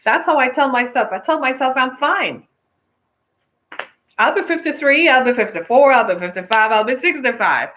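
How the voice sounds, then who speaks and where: A woman's voice: sits high, animated, moderate pace. Emphatic woman in her fifties, lo-fi. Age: 50s. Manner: emphatic, preachy, repeats phrases for effect. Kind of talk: casual opinion talk to viewers. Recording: dull lo-fi sound.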